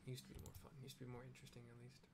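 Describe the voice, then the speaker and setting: A middle-aged man casually describing what happens in a video game as he plays, in a halting, conversational way. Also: mid-range voice, completely flat delivery, heavy background noise. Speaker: game streamer, narrator. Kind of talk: casual play-by-play with audio description of gameplay. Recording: loud game music behind voice. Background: loud game music.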